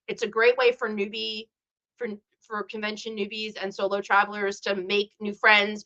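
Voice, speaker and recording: A woman's voice: medium pitch, bright, forceful tone, dull audio. A woman hosting a casual live stream, chatting and rambling to her viewers and greeting them by name. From Chicago area. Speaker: livestream host.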